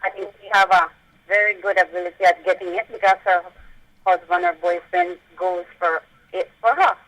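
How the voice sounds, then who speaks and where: A woman's voice: on the low side, steady tone, normal speed, fairly bright tone, poor audio. A woman with a Belizean accent calling a radio show by phone, polite and casually giving her opinion. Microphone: telephone.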